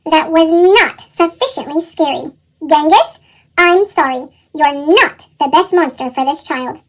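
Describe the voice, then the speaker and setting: A woman voicing a strict male monster teacher in a storybook, formal and scolding, with a chipmunk pitch effect. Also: expressive, unhurried, poor audio. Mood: instructive. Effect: chipmunk pitch-shifted voice.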